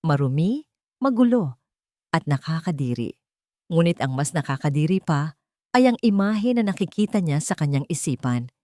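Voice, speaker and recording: Low-pitched woman narrating a dramatic story. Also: sing-song, normal speed, long pauses, darkish tone, no room sound, studio quality.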